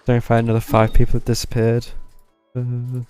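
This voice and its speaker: A game streamer giving casual, offhand, halting commentary during live play. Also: medium pitch, completely flat delivery, dark tone, faint music behind.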